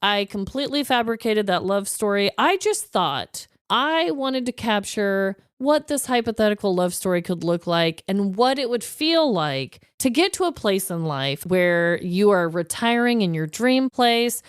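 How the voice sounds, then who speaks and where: A woman co-hosting a podcast in casual comedic chat, chatty and expressive in an informal way, close on the microphone. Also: mid-range voice, clean tone, dry recording. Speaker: podcast co-host.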